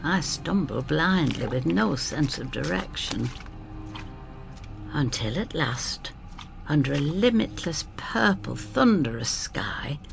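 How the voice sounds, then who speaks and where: An actress playing a plucky, down-to-earth older woman who narrates her adventure in an expressive, wry first-person style. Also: low-pitched, gravelly, heavily acted. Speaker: narrator and lead actor.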